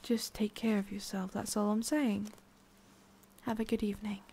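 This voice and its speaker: A woman voicing a haughty, prickly witch with a hidden soft side in an ASMR roleplay, soft and often whispery with a creaky edge. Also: medium pitch, flat delivery, normal speed, slight background noise, close to an everyday voice. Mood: guarded, caring. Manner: soft, often whispery, breathy sighs, curt asides.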